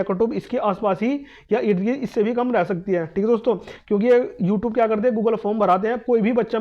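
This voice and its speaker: A man explaining exam cutoff scores to students in a fast, repetitive, emphatic talk. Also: high-pitched, dull audio. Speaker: exam coaching YouTuber.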